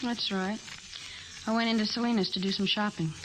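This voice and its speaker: A woman's voice: medium pitch, steady tone, a little fast, background noise, close to an everyday voice. A woman playing a defiant small-town femme fatale in 1940s radio drama, curt and slangy.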